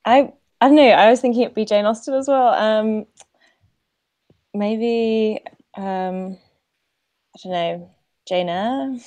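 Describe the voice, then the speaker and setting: A woman takes part in a quiz as a contestant. Her voice sits at a medium pitch. She speaks casually and thinks aloud as she answers. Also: slow, pauses often, dark tone.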